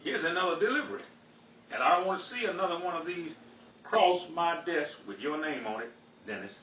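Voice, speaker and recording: Far off echoey recording, guy playing a fed-up scolding cop, sharp bright voice, old radio comedy. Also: a little high, expressive, brisk. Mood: exasperated. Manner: scolding, official. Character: Officer Kemp, fed-up male policeman. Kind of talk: 1940s old-time radio comedy acting. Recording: echoey, distant, faintly noisy recording.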